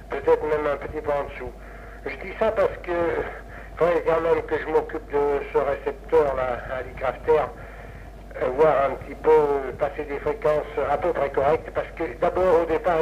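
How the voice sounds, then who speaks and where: Male ham operator, rambling and hesitant, casual, over dull, hissy 1960s radio audio. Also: fairly high pitch. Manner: rambling, hesitant, repeats himself. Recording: noisy lo-fi 1960s amateur radio recording.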